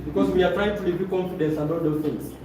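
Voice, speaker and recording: A man's voice: high-pitched, steady tone, fast talker. Zambian male seminar trainer in echoey room. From Luapula, Zambia. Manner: directive, checks in with listeners, repeats phrases. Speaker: trainer leading a presentation course. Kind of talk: motivational seminar instruction to a live room. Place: indoor training room with stage. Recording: very echoey room, distant mic, noisy.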